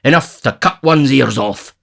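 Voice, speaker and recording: A man voicing a pompous, self-important middle-aged parish beadle in an audiobook, lecturing loudly. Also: mid-range voice, a little slow, vocal fry, voice pushed up. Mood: gruff. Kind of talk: audiobook character voice.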